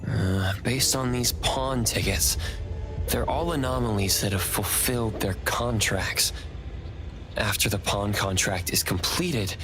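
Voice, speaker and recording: A man playing a calm, analytical young investigator in an anime-style video game, speaking in a measured, thoughtful way. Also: fairly low pitch, music in the background.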